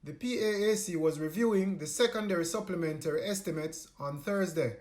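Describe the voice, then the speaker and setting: A man's voice: high voice, brisk, a little mellow. A Jamaican man narrating a scripted news report as a reporter.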